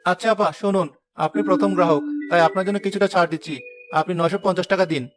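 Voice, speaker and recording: Man voicing a cocky, very fast-talking young son. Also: high-pitched, steady tone, faint music behind, natural voice. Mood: conceding. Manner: boastful, argumentative. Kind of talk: moral-story cartoon dialogue.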